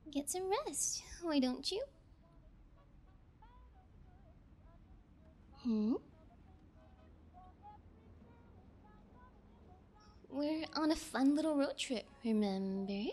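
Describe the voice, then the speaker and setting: A woman's voice: high voice, expressive, measured pace, darkish tone. A woman voicing a playful, sociopathic young female fiend kidnapper in an ASMR roleplay, with sugary taunts and theatrical pouting. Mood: sweetly menacing.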